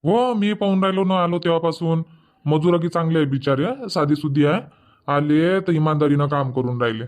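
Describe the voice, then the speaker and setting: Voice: medium pitch, normal speed, darkish tone, close to an everyday voice. A performer voicing an argumentative male farmer in a comic cartoon, with a rustic Varhadi Marathi accent and grumbling manner. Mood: matter-of-fact. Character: easygoing but argumentative male village farmer husband. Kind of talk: comic cartoon dialogue dubbing.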